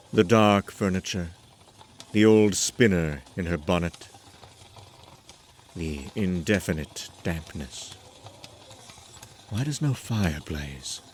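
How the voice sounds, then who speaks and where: A man playing a lonely, uneasy traveller who narrates a gothic horror tale in a brooding, halting, theatrical way. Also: deep voice, slight background noise. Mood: growing dread. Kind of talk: dramatic scripted horror narration.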